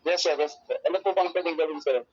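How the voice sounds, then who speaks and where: A Filipino man with a distinctly high voice is speaking over a dull, dry phone connection. He works in courier customer service and explains himself in a deferential, repetitive way, with frequent polite forms of address. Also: fast talker, no room sound. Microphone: telephone. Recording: muffled lo-fi phone call, dry.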